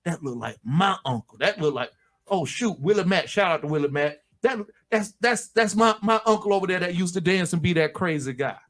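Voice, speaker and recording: Animated joking man, higher voice. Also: a little fast. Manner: animated, joking, swings into loud stern put-on voices. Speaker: radio show co-host. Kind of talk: casual banter on an online radio show.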